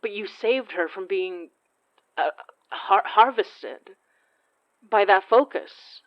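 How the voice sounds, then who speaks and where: An actress playing a wary, blunt occult investigator in an audio horror drama, controlled and accusing. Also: female voice, mid-range voice, slow, lo-fi sound, natural voice. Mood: pressing, accusing.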